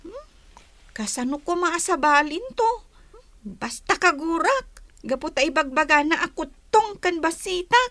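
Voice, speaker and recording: Very high, dry-sounding young schoolgirl, pleading, feisty, radio drama. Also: animated, unhurried, pushed and bright, no room sound, exaggerated voice. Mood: matter-of-fact.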